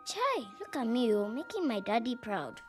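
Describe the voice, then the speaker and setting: An actress playing a spoiled, sulky rich young girl, in an animated series. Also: on the high side, normal speed, soft background music.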